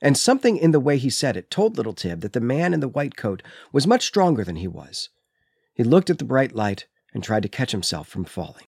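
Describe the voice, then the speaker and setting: Male literary podcaster, average pitch, explanatory and articulate, very dry sound. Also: big pitch swings, a little fast, gravelly, darkish tone, husky, no echo. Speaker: podcast co-host. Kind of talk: literary discussion and reading aloud from a book.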